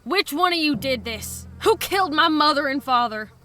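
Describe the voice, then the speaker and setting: A woman's voice: sits high, expressive, moderate pace, bright, forceful tone. An actress playing a menacing, fanatical young frontier woman in a western audio drama, terse and commanding. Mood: anguished fury.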